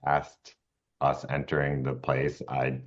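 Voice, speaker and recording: Really deep guy rambling thru game notes, keeps correcting himself, kinda dull sound. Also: monotone. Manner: halting, rambling, self-correcting. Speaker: podcast co-host and tabletop player. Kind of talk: casual chat giving game feedback.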